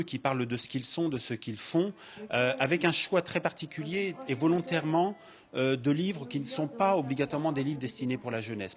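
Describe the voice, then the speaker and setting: A man interviewed about a school reading project, speaking hesitantly with many fillers. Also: medium pitch.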